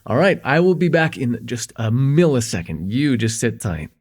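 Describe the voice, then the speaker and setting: A man playing a shy, smitten young man in a slice-of-life ASMR roleplay, speaking in a halting, conversational way with nervous stammers. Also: medium pitch, big pitch swings, darkish tone. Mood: playful, affectionate. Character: shy, smitten young man confessing a crush, teasing, tender.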